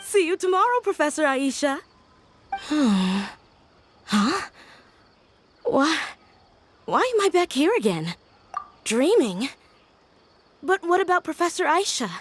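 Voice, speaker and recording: Wistful high-voiced young female scholar. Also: very animated, slow, long pauses, full character voice. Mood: confused. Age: young adult. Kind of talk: video game voice acting, storytelling dialogue. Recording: background music under voice.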